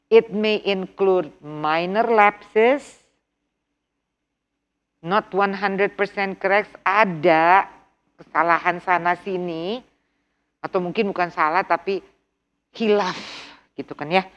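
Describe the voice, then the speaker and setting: A woman's voice: lowish voice, takes it slowly, long pauses, muffled recording. A middle-aged woman with an Indonesian accent teaching an online TOEFL workshop, switching between English and Indonesian, quizzing her class and repeating key words. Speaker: TOEFL instructor.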